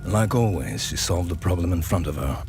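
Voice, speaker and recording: A man's voice: sits low, lively intonation, normal speed, a little mellow, faint music behind. A man playing a sly, self-serving underworld dealer who narrates a game's cutscenes in a wry, sardonic manner.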